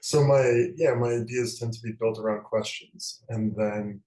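A middle-aged man from the American Midwest, a novelist answering interview questions thoughtfully, with frequent pauses and fillers. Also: mid-range voice, fairly even intonation, dark tone, reverberant, rough recording. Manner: thoughtful, hesitant, many fillers. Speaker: guest novelist.

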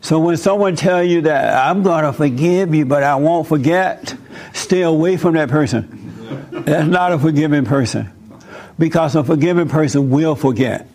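A man's voice: a little high, normal speed, darkish tone. A man preaching and chatting with visitors, emphatic and repeating his phrases.

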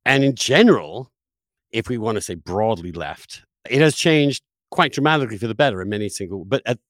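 A man hosting an opinionated conversational discussion, phrasing his points emphatically and deliberately, with pauses for effect. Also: fairly low pitch, animated, no room sound, clean studio sound.

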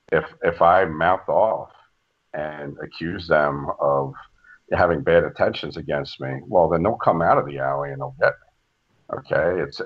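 An older man with a deep voice, a podcast guest telling stories slowly and with many restarts. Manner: slow, measured storytelling with frequent restarts. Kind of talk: casual podcast conversation. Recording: dull lo-fi audio.

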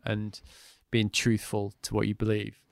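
A man hosting a podcast interview, talking in a thoughtful, halting way that often trails off. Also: lowish voice, completely flat delivery, gravelly, no echo, clean studio sound. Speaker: podcast host. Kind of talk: reflective interview chat.

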